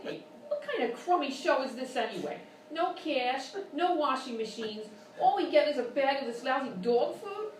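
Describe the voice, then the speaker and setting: A woman's voice: high-pitched, lively intonation, normal speed, hardly any pauses. An actress playing a brash, demanding, sour mother with a blunt, unimpressed manner in a live radio-style comedy sketch. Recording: roomy live stage, distant mic, faint noise.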